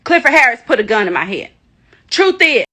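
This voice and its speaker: A woman's voice: fairly high pitch, big pitch swings, fairly quick, bright, forceful tone. A woman making a defiant public statement, emphatic and accusatory, repeating herself for stress. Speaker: accuser in a played clip.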